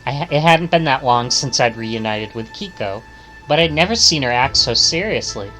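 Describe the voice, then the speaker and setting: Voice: medium pitch, lively intonation, normal speed, fairly bright tone, faint music behind, rough recording. A streamer reading visual novel text aloud and adding casual commentary.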